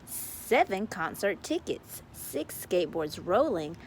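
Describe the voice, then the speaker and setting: A woman reads a picture book aloud for children with a very animated, swooping voice. Her pace is unhurried, with words drawn out in a playful sing-song. Some background ambient noise sits behind her. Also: mid-range voice. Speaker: read-aloud story time host.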